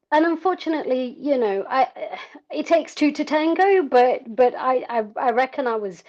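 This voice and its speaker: Woman from south africa w/ highish voice, chatting candidly about herself, slightly dull lo-fi audio. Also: a little mellow. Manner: conversational, frank, self-deprecating. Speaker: podcast guest, endurance cyclist. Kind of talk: candid personal storytelling.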